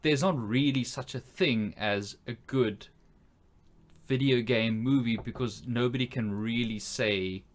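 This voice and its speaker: A man's voice: mid-range voice, unhurried. A man co-hosting a casual film podcast, thinking aloud in an informal, tentative way. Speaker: podcast co-host.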